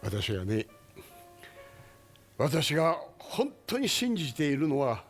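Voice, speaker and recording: A man's voice: a little high, huge pitch swings, moderate pace, soft background music, heavily acted. A man playing a grave, tender father speaking to his son in a stage drama. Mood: solemn, tender.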